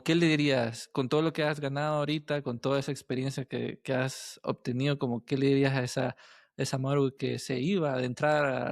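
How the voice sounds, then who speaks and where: A man's voice: mid-range voice, creaky, no room sound, clean studio sound. A man speaking as a podcast host, asking questions in a casual, conversational way.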